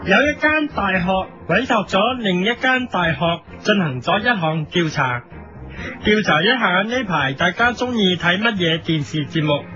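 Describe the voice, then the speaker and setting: High-pitched Hong Kong man, mock-news radio satire. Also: lively intonation, moderate pace, bright, forceful tone. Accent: Hong Kong Cantonese. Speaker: host of a parody editorial programme. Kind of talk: satirical scripted commentary in mock news style. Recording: lo-fi radio recording with music behind. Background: music.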